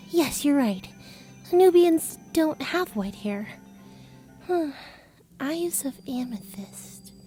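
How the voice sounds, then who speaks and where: A woman's voice: high voice, mellow, full character voice. A woman playing an eerie, playful teenage girl in a fantasy audiobook, dreamy, distant and condescending, musing unhurriedly. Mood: curious musing. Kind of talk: fantasy audiobook character acting.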